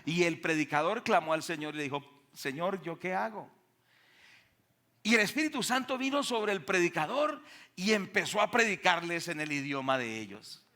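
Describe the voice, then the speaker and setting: A man's voice: high voice, animated, normal speed, sharp tone. A man with a Colombian accent preaching a sermon emphatically, putting questions to his congregation. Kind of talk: sermon with Bible reading and testimonies. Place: speaking to a church congregation.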